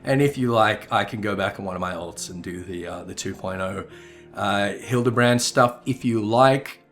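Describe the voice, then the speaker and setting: A man gives casual commentary on his gaming video. His voice is on the low side, and music plays behind it. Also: normal speed, a bit bright.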